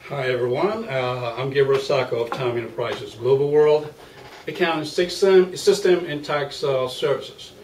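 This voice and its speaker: A man from Liberia with a Liberian accent, giving a guest interview in a measured, formal way with many fillers. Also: mid-range voice, darkish tone. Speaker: guest, accountant and business owner. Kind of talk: interview answers. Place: small makeshift home studio. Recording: roomy, distant mic with faint hiss.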